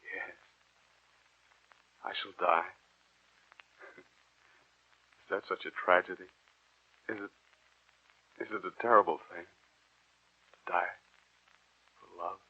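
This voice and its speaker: A man's voice: low voice, fairly even intonation, slow pace, long pauses, husky, exaggerated voice. An actor playing a lovesick, tormented young male student with intense, melodramatic delivery in a 1940s radio drama. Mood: despairing. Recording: muffled vintage 1940s radio recording.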